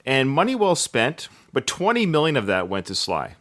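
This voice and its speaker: A man's voice: medium pitch, huge pitch swings, moderate pace, fairly bright tone. A man in his forties, hosting a podcast and talking eagerly in casual chat. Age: 40s. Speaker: podcast host.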